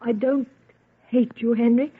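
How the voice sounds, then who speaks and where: A woman's voice: fairly high pitch, steady tone, a little slow, husky. An actress playing a proud, wounded young woman in love, in an emotional, theatrical old-time radio drama style. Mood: numb, hurt. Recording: muffled, tinny vintage radio recording.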